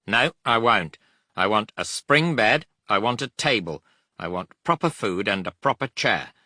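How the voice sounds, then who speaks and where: A man's voice: on the low side, expressive, unhurried, sharp tone, no room sound, studio quality, voice pushed up, full character voice. A man voicing a defiant, demanding captive British air force officer, speaking in an insistent, indignant, clipped way.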